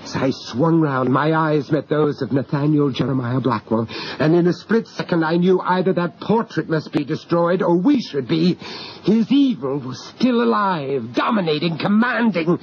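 An actor playing an earnest, courteous gentleman investigator recounting a horror tale in an old radio drama, sometimes hesitant and always dramatic. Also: a little high, moderate pace, lightly acted. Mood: frantic. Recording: muffled, noisy old radio drama recording.

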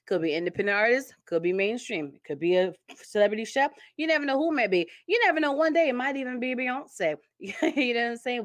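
Woman host from Connecticut, chatty and upbeat, mid pitch, dry close sound. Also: dry recording. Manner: chatty, upbeat, laughs often. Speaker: podcast host. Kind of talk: casual interview chat.

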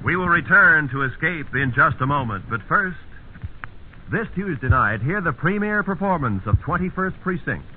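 A man's voice: a little high, sing-song, normal speed. A man announcing from a script in 1950s radio style, with an emphatic, punchy delivery. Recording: narrow, hissy 1950s radio broadcast.

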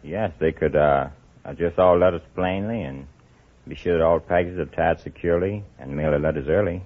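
A man's voice: low voice, normal speed. A man from Mississippi giving plain, literal, brief answers as a quiz show contestant on old radio. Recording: dull old radio recording, studio audience. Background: studio audience.

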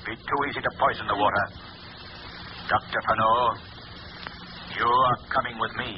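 An actor playing a mysterious, commanding invisible crime fighter, speaking in stern, deliberate commands in old radio thriller style. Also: male voice, medium pitch, fairly even intonation, natural voice. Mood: stern, assured. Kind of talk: 1930s-40s radio thriller acting. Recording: muffled, very noisy old radio recording.

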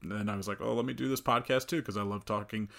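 A man's voice: on the low side, steady tone, fast talker, vocal fry, fairly bright tone. Chatty young man hosting a podcast. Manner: chatty, laughs often, many restarts. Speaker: podcast host. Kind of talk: casual conversational interview.

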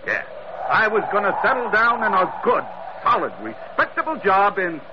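An actor playing a breezy, joking first mate in a 1940s radio adventure, with a folksy way of bantering. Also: male voice, high-pitched, very animated, moderate pace. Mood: sheepish. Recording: muffled, very noisy old radio recording.

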